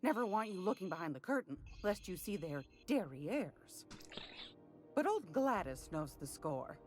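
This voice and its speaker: A woman's voice: lowish voice, very animated, unhurried, faint music behind. An actress playing a folksy, sly older woman trader in a video game, sweet-talking and knowing, with a drawl. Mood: wry, confiding. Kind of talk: video game character dialogue.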